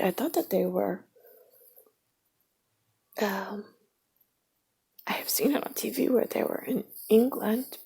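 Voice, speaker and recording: A woman rambles slowly through her thoughts in an airy, raspy voice with heavy fry at the ends of phrases. She often slips into a half-whisper and stops to spell words out letter by letter. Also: medium pitch, a little mellow. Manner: spells words out letter by letter, drifts between topics. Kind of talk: rambling stream-of-consciousness monologue.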